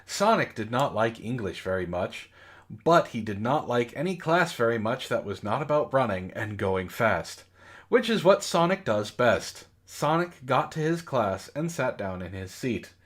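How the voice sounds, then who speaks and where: Animated man reading aloud, exasperated. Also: mid-range voice, a little slow. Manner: swears, breaks off to react in exasperation. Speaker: host of a comedy book club reading. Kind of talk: casual read-aloud of bad fan fiction with amused commentary.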